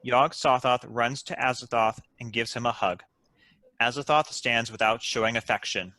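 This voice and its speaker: Bright-voiced man reading stage directions. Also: mid-range voice, normal speed, dry recording. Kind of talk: scripted narration.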